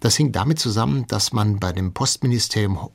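A man's voice: lowish voice, fairly quick, vocal fry. A man in a radio studio explaining history as an expert interview guest, speaking freely.

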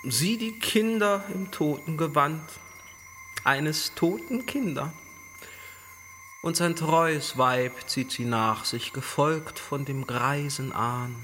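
A man's voice: fairly high pitch, lively intonation, takes it slowly, pushed and bright, full character voice. A man acting a cruel tyrant in a theatrical radio tragedy, drawing out his words with sardonic mock lament. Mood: mock pity. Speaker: actor. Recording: long reverb with background noise.

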